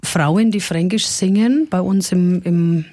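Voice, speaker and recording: A woman's voice: fairly low pitch, slow, dark tone, husky, no room sound. A middle-aged woman from Franconia, a singer-songwriter guest, chatting in an anecdotal way with many fillers in a radio interview. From Franconia, Germany. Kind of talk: casual storytelling in a radio interview.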